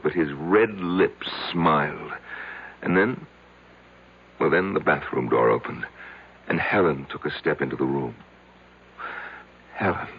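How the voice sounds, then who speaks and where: Over a tinny old radio broadcast comes a self-loathing, betrayed husband in middle age. His voice is extremely deep and full of gravel, and he confesses in hesitant, repeated fragments. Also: heavily acted. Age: middle-aged. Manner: halting, hesitant, repeating himself. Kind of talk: radio drama acting.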